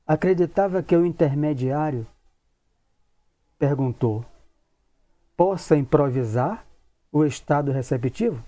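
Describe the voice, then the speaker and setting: A man's voice: fairly high pitch, unhurried, long pauses, warm tone. A man, leading an informal study talk and acting out imagined questions in a conversational way. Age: 60s. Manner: conversational, acts out imagined questions, repeats tag questions. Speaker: spiritist study group leader and lecturer.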